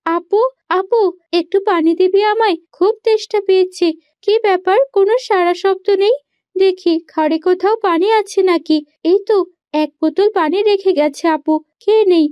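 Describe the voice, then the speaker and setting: A woman's voice: very high-pitched, fairly even intonation, moderate pace, dry recording, muffled recording. A woman voicing an eager, girlish and whiny younger sister in a cartoon fairy tale. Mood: thirsty, searching. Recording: background music under the voice.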